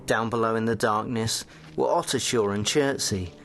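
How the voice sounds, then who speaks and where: An actor playing a reflective narrator of an alien invasion tale, in a measured, solemn, literary manner. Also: male voice, fairly low pitch, fairly bright tone, soft background music. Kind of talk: dramatized scripted narration.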